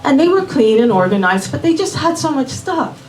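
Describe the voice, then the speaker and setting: A woman raised in Miami telling a personal story live at a small venue, unhurried and measured, dropping in Spanish words. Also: sits high, sing-song, a little mellow. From born in Puerto Rico, raised in Miami. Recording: roomy venue audio from a distant mic.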